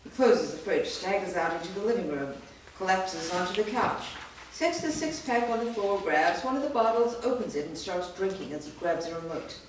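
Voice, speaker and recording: A woman's voice: mid-range voice, lively intonation, normal speed, a little hiss or hum. Woman reading screenplay directions, echoey. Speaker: reader of a screenplay. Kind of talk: staged reading of stage directions. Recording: echoey room from far off.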